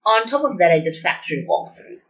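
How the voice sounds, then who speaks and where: A woman's voice: mid-range voice, big pitch swings, a little fast, a bit bright. A woman, explaining her research as an interview guest. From Zimbabwe. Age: 20s. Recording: dull lo-fi audio.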